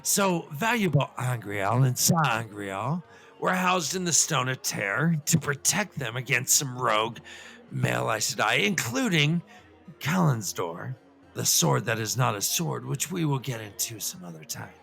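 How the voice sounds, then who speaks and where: A man acts out an excitable professor puppet in a comic show, lecturing on fantasy lore. The voice is gravelly and forceful at a middling pitch, wandering into asides and stumbling over words, with faint music behind it. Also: unhurried, exaggerated voice. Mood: earnest, rambling. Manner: rambling, professorial, full of digressions and stammers.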